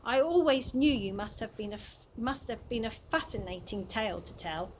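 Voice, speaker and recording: An inquisitive, kind-hearted storybook girl, as a woman reader puts her on. The pitch is high and leaps about with eager, polite questions. There is faint noise on the recording. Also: animated, moderate pace, close to an everyday voice. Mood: earnest. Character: curious, earnest, kind young girl.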